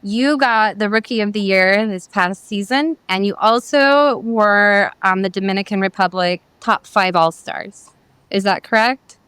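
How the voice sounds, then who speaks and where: A woman's voice: mid-range voice, a little slow, fairly bright tone, clear, resonant tone, no room sound. A woman hosting an interview, asking upbeat, loosely phrased questions. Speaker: interviewer, physician host.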